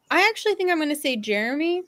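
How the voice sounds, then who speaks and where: A woman's voice: high-pitched, fairly bright tone, no echo, clean studio sound. A woman speaking casually as a fan panelist, in a hesitant, giggly manner. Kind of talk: casual chat.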